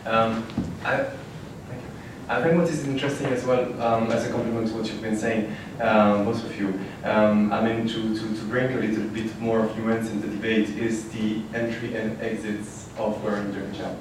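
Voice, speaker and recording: A man on a panel adding a comment, speaking slowly with many fillers. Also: lowish voice, flat delivery. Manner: slow, hesitant, many fillers. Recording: echoey room from far off with noise.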